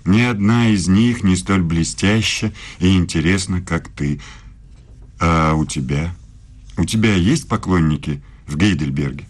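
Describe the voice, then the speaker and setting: Radio drama role: lofty male German professor and evasive lover, low register, deliberate, pontificating delivery. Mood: guarded, questioning. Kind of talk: radio play acting.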